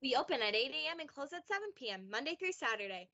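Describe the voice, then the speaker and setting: Young woman as high-voiced cheery ad saleswoman. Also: sing-song, fast talker, creaky, pushed and bright, lo-fi sound, exaggerated voice. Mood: cheery, promotional. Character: cheery shop saleswoman in mock radio advert. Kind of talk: scripted radio ad pitch.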